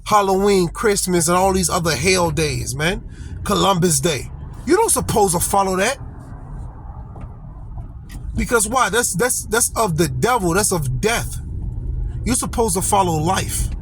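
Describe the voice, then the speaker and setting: A man's voice: high voice, animated, measured pace, pauses often, fairly bright tone. A middle-aged man preaching an informal, blunt sermon outdoors, exhorting listeners and tagging phrases with "man" and "okay.". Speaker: street preacher. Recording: outdoor street noise.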